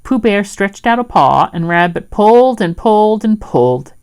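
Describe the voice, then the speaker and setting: A woman reading a children's storybook aloud as an expressive storyteller. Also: mid-range voice, moderate pace, clean tone.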